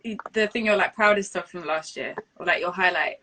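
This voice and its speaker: A woman's voice: on the low side, brisk, background noise. A woman interviewing someone in an informal, slangy, chatty way. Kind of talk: casual interview chat.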